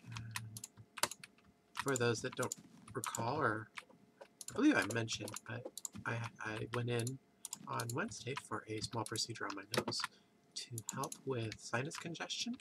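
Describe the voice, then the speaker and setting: A man's voice: mid-range voice, vocal fry. A man with a congested, stuffy voice, just woken up and casually rambling through gameplay commentary from his computer at home. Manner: rambling, thinks aloud with pauses. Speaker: let's play host. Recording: background noise with keyboard clicks.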